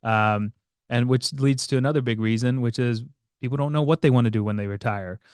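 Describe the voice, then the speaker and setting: A man co-hosting a podcast as a financial planner, explaining in a casual, halting way with many fillers. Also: medium pitch, darkish tone, smooth, no room sound, studio quality. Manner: hesitant, many fillers and restarts.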